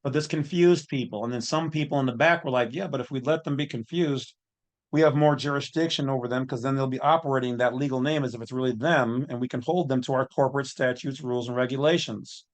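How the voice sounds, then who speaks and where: A man's voice: medium pitch, fast. Man giving folksy, opinionated commentary. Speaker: host of an online legal commentary channel. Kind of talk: informal off-the-cuff commentary.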